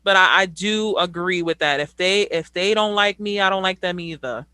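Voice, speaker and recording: A young woman hosts a casual group conversation in a bright, forward voice. She talks bluntly and keeps it real. The sound is dry and close. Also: lowish voice, moderate pace, clear, resonant tone, dry recording. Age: 20s. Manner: blunt, outspoken. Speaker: host.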